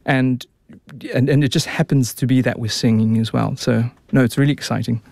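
A young man with a New Zealand accent talks easily as a radio guest, often restarting his sentences. His voice sits in a middle pitch. The sound is dry and close, with no room around it. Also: steady tone, brisk, creaky, a little mellow, no echo. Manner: chatty, many restarts and fillers. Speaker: opera tenor, guest. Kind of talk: relaxed radio interview.

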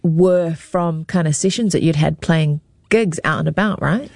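Radio host, gravelly voice, dry close sound, encouraging interviewer. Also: mid-range voice, unhurried, a little mellow, no echo. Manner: encouraging, brief affirmations.

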